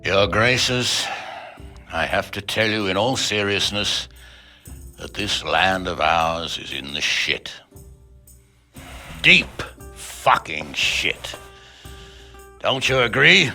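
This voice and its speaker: A man playing a blunt, commanding nobleman in a video game, speaking with forceful authority. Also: low-pitched, big pitch swings, takes it slowly, long pauses, faint music behind, heavily acted. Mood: grave, blunt. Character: blunt, foul-mouthed, commanding noble lord. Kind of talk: video game cutscene acting.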